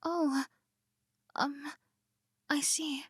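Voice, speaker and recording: A woman voicing a flustered tsundere tomboy princess in an ASMR roleplay, stammering and slipping from soft whispers into indignant protests. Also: high voice, little pitch movement, extremely slow, dry recording, studio quality. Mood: tender.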